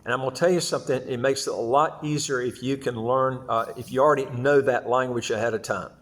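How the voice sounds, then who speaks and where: Male explainer-video host, mid-pitched voice, informal and halting delivery with repeated words and stumbles. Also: steady tone, a bit bright. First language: English. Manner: halting, repetitive, stumbles over phrases. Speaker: host of a second-passport advice channel. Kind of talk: informal explanatory talk to viewers.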